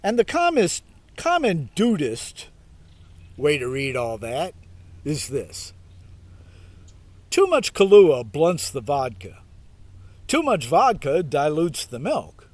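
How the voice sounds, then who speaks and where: Slow-talking man with lively, swinging pitch. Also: no room sound. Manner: halting, wry, laid-back asides. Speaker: self-styled priest, meditation video host. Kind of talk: reading aloud with informal reflective commentary. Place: outdoors in a park.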